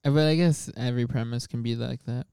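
Young guy rambling on a comedy podcast, warm smooth voice, lots of likes and laughs. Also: mid-range voice, steady tone, fairly quick, dry recording, clean studio sound. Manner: rambling, laughs a lot, many fillers and restarts. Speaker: podcast co-host, stand-up comic. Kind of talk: casual chat.

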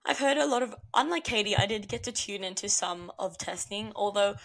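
A woman co-hosting a casual podcast, bubbly and excitable, her voice hoarse and worn out. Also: mid-range voice, fairly quick. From New Zealand or Australia. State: hoarse, has lost her voice. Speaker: podcast co-host. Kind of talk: casual chat.

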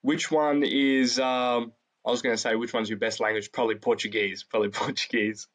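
A male host with an ordinary mid-pitched voice. He asks his guest short, plain questions, one at a time. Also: little pitch movement, fairly quick, a bit bright. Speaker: interview host. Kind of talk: interview questions.

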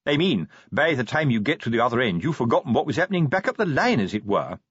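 A man's voice: mid-range voice, big pitch swings, quick pace, a bit bright, husky, dry recording. A man voicing a stout, plodding male watch sergeant who talks earnestly and tries to sound official. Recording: dull lo-fi recording.

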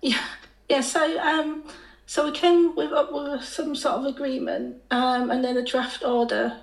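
A woman calling in with a croaky voice after being ill, speaking haltingly with many pauses. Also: sits high, steady tone. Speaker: caller. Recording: echoey call audio.

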